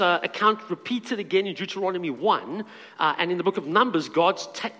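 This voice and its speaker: A man's voice: high-pitched, fairly bright tone, in a large hall. A man preaching a sermon as pastor, reading scripture aloud and adding earnest asides.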